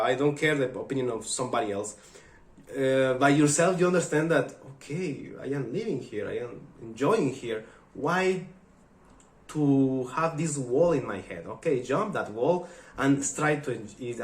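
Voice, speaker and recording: A Mexican man with a Mexican Spanish accent, a native Spanish speaker, talking eagerly to camera in halting English. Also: mid-range voice. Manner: eager, chatty, halting non-native phrasing. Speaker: vlogger. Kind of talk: casual talk to camera. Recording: echoey room.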